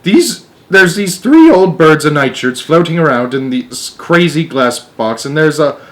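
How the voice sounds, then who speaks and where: Man playing an excitable, high-pitched alarmed astronaut. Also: a little slow. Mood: flustered. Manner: exclamatory, urgent, stammering in disbelief.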